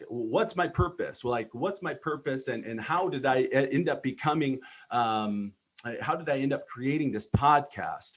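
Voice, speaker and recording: A man in his thirties, from Texas, hosting a motivational podcast in an earnest, informal way with many filler ums and repeated words. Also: mid-range voice. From Texas, United States. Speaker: podcast host. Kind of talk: motivational personal monologue.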